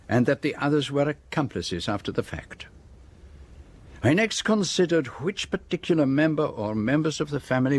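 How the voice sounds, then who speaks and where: An actor playing a self-assured detective in a radio drama, speaking in a deliberate, formal and precise way as he lays out a case. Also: medium pitch, slightly rough. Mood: probing, precise. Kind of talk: radio drama acting, monologue.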